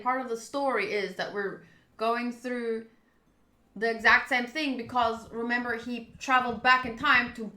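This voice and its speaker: A woman's voice: fairly high pitch, sharp tone. A chatty woman livestreaming a visual novel, reading its narration aloud and commenting casually in reaction to the game and viewers. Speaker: game streamer. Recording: roomy echo.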